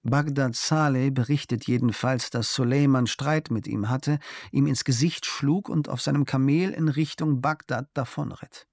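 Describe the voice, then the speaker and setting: Male narrator, mid-pitched, measured literary reading, very dry studio sound. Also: steady tone, darkish tone, no room sound. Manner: measured, unhurried reading with long pauses. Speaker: narrator reading a travel diary. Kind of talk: calm scripted literary narration.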